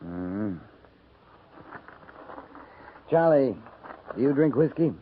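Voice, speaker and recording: An actor playing a steady, dry-witted cavalry captain in a 1950s radio western, terse and understated in his authority. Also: mid-range voice, unhurried. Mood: grave, patient. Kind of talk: 1950s radio drama acting. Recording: noisy, tinny 1950s radio recording.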